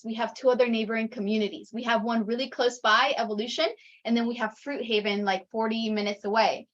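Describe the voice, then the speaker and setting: A woman's voice: a little high, a bit bright. A woman from Ecuador whose first language is Spanish, talking casually about her community with lots of fillers and restarts. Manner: rambling, many fillers and restarts. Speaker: community resident. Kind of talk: casual chat on a video call. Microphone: video call. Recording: dull lo-fi call audio.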